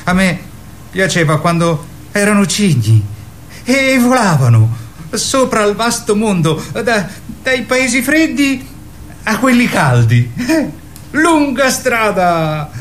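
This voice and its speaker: Slow, halting, childlike boy Cesare. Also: high voice, big pitch swings, fairly bright tone, a little hiss or hum, full character voice. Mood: wistful, musing. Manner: halting, stammering, repeats himself. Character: childlike simple-minded boy Cesare, timid, literal, rambling. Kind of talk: theatrical radio drama.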